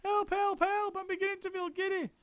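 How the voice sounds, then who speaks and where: A man voicing a spiteful, hysterical nasty old woman in a shrill screaming falsetto. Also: a little fast, no echo. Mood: shrieking.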